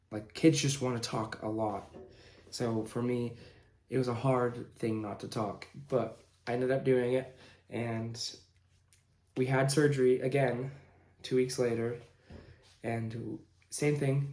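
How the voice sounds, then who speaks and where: A young man in his late teens tells a personal story in a casual, informal style. His delivery is halting, with pauses in the middle of sentences. His medium-pitched voice sits in a roomy, echoing indoor space. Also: mellow. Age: young adult, late teens. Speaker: vlogger telling own story.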